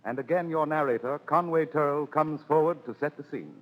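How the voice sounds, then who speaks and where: A man's voice: on the high side, brisk. A man making formal announcements in the style of a 1930s radio announcer. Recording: muffled 1930s radio, loud music.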